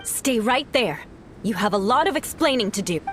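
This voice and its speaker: A woman's voice: fairly high pitch, animated, normal speed, music in the background, natural voice. A woman playing a proud, stern young knight in an anime game, formal and sharply interrogating.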